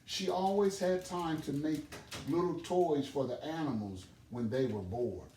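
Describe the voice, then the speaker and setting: A man reading a children's storybook aloud as an expressive storyteller. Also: fairly high pitch, a little slow. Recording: roomy, distant microphone, background noise.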